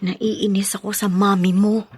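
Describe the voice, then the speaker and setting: A woman's voice: mid-range voice, flat delivery, a little slow, a little mellow, husky, no echo, studio quality. An actress playing a resentful, scheming young mother in a radio drama, with a Filipino accent.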